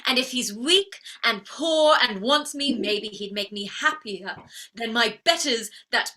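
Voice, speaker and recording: A woman's voice: fairly high pitch, lively intonation, measured pace, bright, forceful tone. A woman playing a proud, wounded young flower girl turned lady in a theatrical play reading, defiant and plaintive. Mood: earnest, defensive. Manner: defiant, plaintive, emotionally outspoken.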